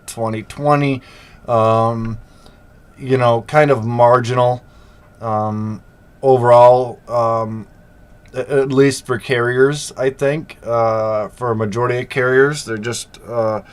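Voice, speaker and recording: A middle-aged man with a slight sore throat talks straight to his viewers about the freight business. His pitch sits in a plain, middling range, and his delivery is loose and conversational, full of "um" and "you know" as he reassures the audience. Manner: conversational, many fillers, reassuring asides. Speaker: freight business channel host. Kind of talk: casual talk to camera.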